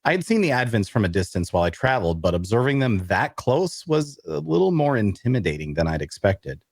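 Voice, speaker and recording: A man playing a wary, vengeful loner who narrates his own dark fantasy tale in a wry, confiding way. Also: medium pitch, big pitch swings, a little fast, vocal fry, no echo, studio quality. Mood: self-deprecating. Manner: confiding, wry, addresses the listener. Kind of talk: scripted first-person audio drama narration.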